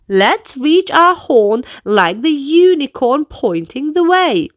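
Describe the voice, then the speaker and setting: Female children's read-aloud narrator: high-pitched, very animated, unhurried delivery, speaking straight to little ones. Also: no room sound, studio quality. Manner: animated, deliberate, addressing children directly. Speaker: children's storybook reader. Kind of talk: expressive read-aloud narration with movement prompts for young children.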